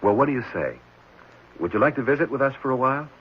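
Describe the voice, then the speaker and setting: An actor playing a concerned, gently probing prospective foster father in a 1970s radio drama. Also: mid-range voice, animated, fast, close to an everyday voice. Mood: gentle. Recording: muffled, noisy vintage radio recording.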